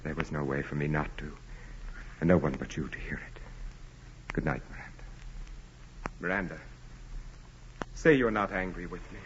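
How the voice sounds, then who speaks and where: An actor playing a wealthy, commanding aristocrat, formal, grave and insistent, in 1940s radio drama style. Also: male voice, extremely low pitch, normal speed, long pauses. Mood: intense, insistent. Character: wealthy aristocratic landowner, commanding, brooding, intense suitor. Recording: muffled, noisy old radio broadcast.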